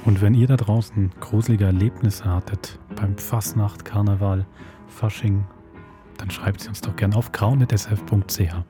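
A man's voice: on the low side, steady tone, moderate pace. A man presenting the outro of an audio drama podcast as its host. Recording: guitar music behind the voice.

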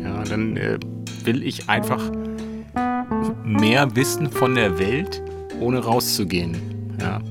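A man talking informally and reflectively, halting and letting thoughts trail as he goes. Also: lowish voice, vocal fry. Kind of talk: informal reflective interview talk. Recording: prominent plucked guitar music bed. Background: plucked guitar music.

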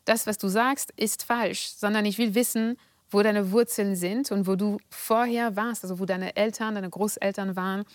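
A woman's voice: medium pitch, steady tone, dry recording. French-accented woman, dry close sound. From France. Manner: measured and deliberate, with frequent hesitations. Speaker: guest. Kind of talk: thoughtful interview answers.

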